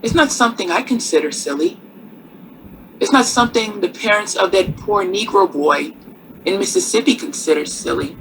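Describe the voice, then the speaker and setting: An actress playing a poised, worldly nightclub singer in a radio drama, with theatrical, wryly warm delivery. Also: female voice, medium pitch, lively intonation, slight background noise, low-quality recording. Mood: grave. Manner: theatrical, unhurried, uses endearments like darling and honey.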